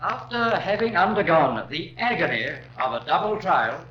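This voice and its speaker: Impassioned high-pitched Victorian barrister. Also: male voice, sing-song, normal speed. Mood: earnest, emphatic. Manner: formal, rhetorical, emphatic. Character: impassioned Victorian defense barrister addressing a jury. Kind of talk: 1950s radio crime drama courtroom oratory. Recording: dull, noisy old radio recording, roomy.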